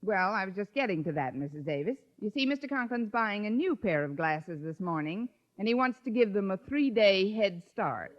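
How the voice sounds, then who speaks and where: An actress playing a wisecracking, sardonic high school English teacher in a 1950s radio sitcom, with dry, crisp comic timing. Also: female voice, expressive, normal speed, hardly any pauses, natural voice. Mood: deadpan. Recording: dull lo-fi 1950s radio recording.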